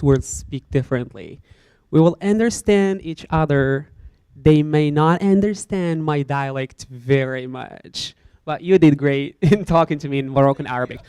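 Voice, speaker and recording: Smooth-voiced man explaining at a panel. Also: fairly high pitch, a little mellow, no room sound. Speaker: Arabic teacher answering as panel guest. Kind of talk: panel discussion answer.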